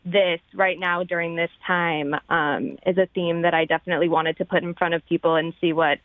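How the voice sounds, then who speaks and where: A woman's voice: on the low side, level tone, brisk, no echo. A woman with an American accent speaking conversationally as an interview guest over a remote line. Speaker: theater producer interview guest. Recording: dry, phone-like remote line.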